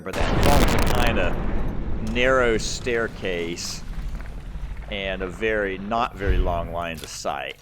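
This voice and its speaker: A man's voice: deep voice, background noise. A man giving casual, rambling commentary as he plays a video game, thinking aloud. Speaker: gaming video host.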